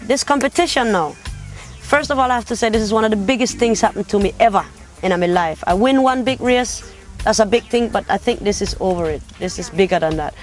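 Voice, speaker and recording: A woman's voice: medium pitch, fairly quick. A young woman from Denmark speaks in a talkative, casual way, her English mixed with patois turns of phrase. She sounds like a competition finalist telling her own story. Faint music plays behind her. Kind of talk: casual interview.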